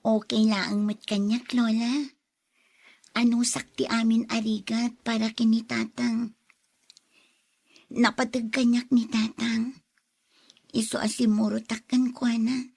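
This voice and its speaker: A woman's voice: fairly high pitch, flat delivery, slow pace, creaky, long pauses, darkish tone, husky, exaggerated voice. A young woman playing a kind, forgiving granddaughter and caregiver in a radio drama. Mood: gentle, caring. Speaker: drama actor.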